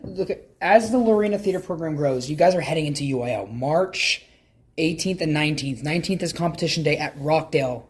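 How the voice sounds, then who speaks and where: A man hosting a podcast interview, talking conversationally and repeating phrases for emphasis as he makes points and asks questions. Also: a little high.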